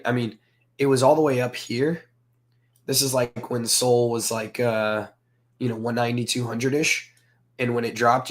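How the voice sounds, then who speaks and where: Hoarse southern us guy, flat monotone, rambly livestream chat. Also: mid-range voice. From southern United States. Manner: rambling, filler-heavy, greets chat viewers. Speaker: crypto livestream host. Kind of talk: casual livestream commentary.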